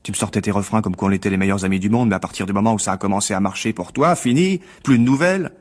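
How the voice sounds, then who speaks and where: Man playing a low-voiced, mocking bitter critic. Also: rapid-fire, darkish tone, close to an everyday voice. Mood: resentful. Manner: mocking, needling, falsely cheerful. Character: bitter, sarcastic male critic, a failed actor turned journalist. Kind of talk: French radio drama acting.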